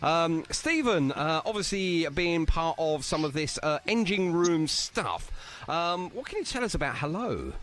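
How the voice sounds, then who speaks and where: A man's voice: on the high side, very animated, unhurried, fairly bright tone. A chatty, jokey man, hosting a casual radio interview with a band. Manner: chatty, jokey, apologetic teasing. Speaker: radio show host.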